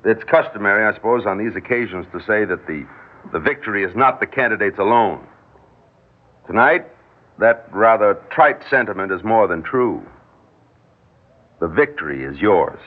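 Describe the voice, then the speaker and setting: An American actor playing a modest, sincere young new mayor with halting speech in a classic radio drama. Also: male voice, on the low side, expressive. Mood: moved, humble. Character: earnest, modest young newly elected small-town mayor. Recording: tinny, husky old radio recording.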